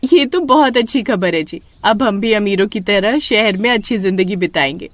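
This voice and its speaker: A woman voicing an excitable, easily frightened young village wife who speaks deferentially to her husband in a horror story. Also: mid-range voice, moderate pace, no room sound, lightly acted. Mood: happy, excited. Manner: exclaiming, addresses husband with wifely deference. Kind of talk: dramatised Hindi horror story.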